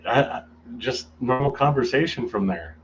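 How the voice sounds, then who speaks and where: A man's voice: mid-range voice, expressive, moderate pace. A male interview guest talking casually and hesitantly, often stopping to restart his sentences. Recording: dull lo-fi audio with faint noise.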